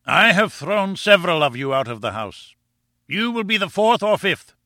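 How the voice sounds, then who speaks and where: A man voicing a furious, bullying professor in an audiobook, pompous and menacing, with a rumbling, booming delivery. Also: expressive, measured pace, pushed and bright, dry recording, studio quality, exaggerated voice. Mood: raging contempt. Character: short, barrel-built furious professor, bullying and contemptuous. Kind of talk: audiobook character acting.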